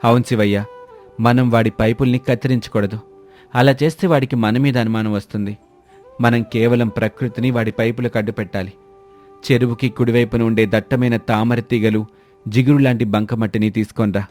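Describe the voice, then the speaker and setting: A man playing a clever, confident young village man, reassuring and measured, in a moral story. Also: mid-range voice, fairly even intonation, darkish tone, soft background music, lightly acted. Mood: calm, scheming.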